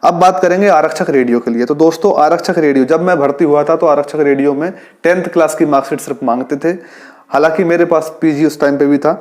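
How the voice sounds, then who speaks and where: Higher-pitched man explaining step by step. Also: fast, a little mellow. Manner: instructive, repeats key points for clarity. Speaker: police radio branch employee presenting an exam-guidance channel. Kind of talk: informal explainer addressed to job aspirants.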